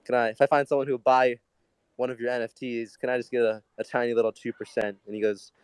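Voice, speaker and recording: A man's voice: mid-range voice, flat delivery, quick pace, clean tone, no room sound. A young man speaking as a guest in an informal group chat, earnest and grateful. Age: teens to young adult.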